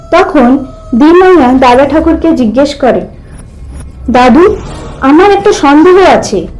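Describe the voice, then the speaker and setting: A woman's voice: high-pitched, a little mellow, poor audio. A woman narrating a scripted supernatural folk tale as a storyteller.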